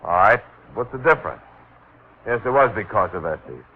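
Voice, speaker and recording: An actor playing a haughty, jealous and threatening rival suitor in an old-time radio drama. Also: male voice, lowish voice, very animated, normal speed. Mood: defiant. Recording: dull, faintly noisy vintage radio recording.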